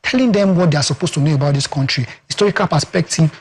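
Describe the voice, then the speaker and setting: A man's voice: on the high side, fast talker, husky. A Nigerian man with a Yoruba accent, a communications expert talking emphatically as a guest in a TV studio interview. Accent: Nigerian English, Yoruba. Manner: emphatic, rhetorical, leans on "guess what" and "you understand".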